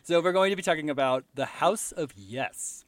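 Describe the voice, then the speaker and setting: Male podcast co-host, casual and chatty, animated pitch, bright tone, dry sound. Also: moderate pace, no echo. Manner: chatty, laughing, trailing restarts. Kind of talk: casual chat.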